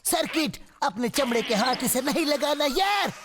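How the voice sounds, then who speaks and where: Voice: sits high, lively intonation, normal speed. An actor voicing a cocky, hungry male hyena villain in a Hindi cartoon dub, boastful and scheming. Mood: gloating. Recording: loud music under the voice.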